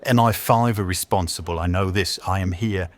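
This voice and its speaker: A man's voice: low voice, normal speed, close to an everyday voice. An actor playing a dutiful, dryly sardonic police detective in an audio drama, terse in his delivery. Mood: weary. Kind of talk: naturalistic audio drama dialogue.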